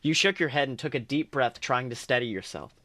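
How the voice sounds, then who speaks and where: A man's voice: medium pitch, lively intonation, brisk, sharp tone, no echo, clean studio sound. A man co-hosting a podcast, reading second-person fan fiction aloud earnestly, with small stumbles and restarts.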